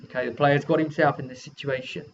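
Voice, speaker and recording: A man with an average-pitched voice casually retells a role-playing session as its game master. He rambles along informally as he narrates. The recording is dull and lo-fi, with faint noise behind him. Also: little pitch movement, fast talker. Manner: rambling, acts out quoted dialogue informally. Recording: dull lo-fi audio with background noise.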